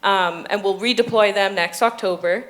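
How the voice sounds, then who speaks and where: Young bahamian woman explaining her research, earnest, lots of ums, middle pitch. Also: moderate pace, a bit bright, low-quality recording. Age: 20s. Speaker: doctoral student. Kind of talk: panel discussion.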